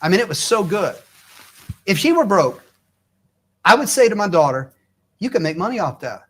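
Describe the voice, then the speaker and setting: High, lively-voiced man hosting a talk show. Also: normal speed, slightly rough. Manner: encouraging, restates the guest's points in his own words. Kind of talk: faith-based talk show conversation.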